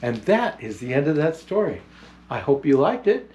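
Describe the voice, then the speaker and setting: Kindly old Santa storyteller, played by a man: unhurried, grandfatherly, very animated pitch, children's picture book read-aloud. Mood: gentle storytelling.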